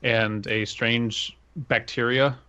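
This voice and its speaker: A man's voice: medium pitch, little pitch movement, slow pace, pushed and bright, clear, resonant tone, lo-fi sound. A man co-hosting a podcast in casual chat, playing along with absurd jokes. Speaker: podcast co-host.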